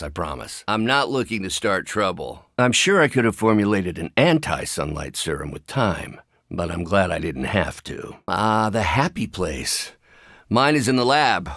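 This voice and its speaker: An actor voicing a brilliant, anxious, wry scientist with buried anger in a video game, speaking in precise, articulate, dryly sardonic phrases. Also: male voice, sits low, fairly bright tone, dry recording, studio quality. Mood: friendly, wry.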